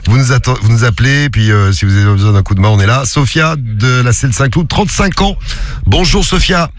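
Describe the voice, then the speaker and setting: A man's voice: mid-range voice, a little fast, no room sound. A man hosting a morning radio show, joking and rambling through casual banter in the studio. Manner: rambling, joking, many restarts. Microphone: studio microphone.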